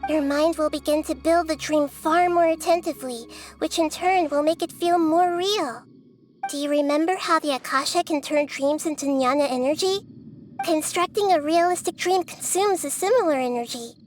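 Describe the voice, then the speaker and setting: Actress as wise little girl goddess, high voice, gentle and thoughtful, game dialogue over music. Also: close to an everyday voice. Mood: earnest explaining. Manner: measured, earnest explaining. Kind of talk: video game voice acting. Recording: music behind the voice.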